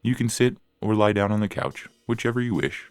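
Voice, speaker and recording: A man playing a considerate, professional therapist who is calm and accommodating, in a science fiction audio story. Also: lowish voice, brisk, smooth, voice pushed up, close to an everyday voice. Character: considerate, professional male therapist with robot patients. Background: music.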